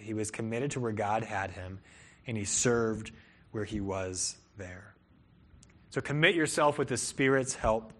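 Young male pastor, earnest sermon delivery, voice climbs and drops to stress points, mid-range pitch. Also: a bit bright. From Peru and Cleveland, Ohio. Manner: earnest, rises and falls for emphasis.

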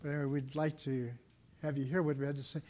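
An older man, soft-voiced, giving a sermon as a guest preacher in a church, talking fast with frequent fillers and restarts. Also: mid-range voice, level tone. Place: church sanctuary. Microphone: microphone.

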